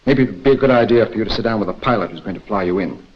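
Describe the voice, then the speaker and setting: Composed American military instructor, 1940s training film, rough-edged voice, measured briefing, muffled soundtrack. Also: male voice, lowish voice. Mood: matter-of-fact. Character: composed male intelligence instructor briefing an agent.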